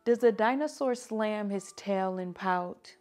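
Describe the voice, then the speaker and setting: A woman reading picture books aloud to children like a teacher, with animated, drawn-out emphasis. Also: mid-range voice, faint music behind. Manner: animated, drawn-out emphasis, asking listeners questions. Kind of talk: expressive children's story read-aloud.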